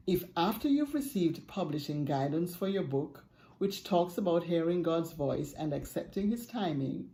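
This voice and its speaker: An older woman, an author, reading a book's foreword aloud from her home study with expressive storytelling emphasis. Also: on the low side. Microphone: webcam at desk, close framing.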